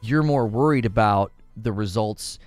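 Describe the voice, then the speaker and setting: A man's voice: lowish voice, unhurried, dry recording, faint music behind. A man hosting a casual gaming news podcast, talkative and loose, repeating words as he thinks aloud. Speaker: podcast host.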